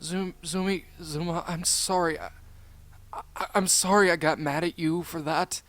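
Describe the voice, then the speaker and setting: Guy doing a sensitive little cartoon boy pup, higher voice, earnest and stammery, super emotional. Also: lively intonation, slow. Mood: apologetic.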